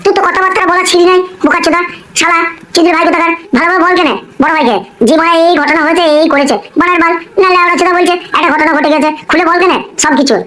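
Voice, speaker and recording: A woman voicing an excitable, foul-mouthed male henchman in a comic cartoon, scolding and cursing. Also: extremely high pitch, very fast. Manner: scolding, curse-laden. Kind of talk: amateur comic cartoon dialogue.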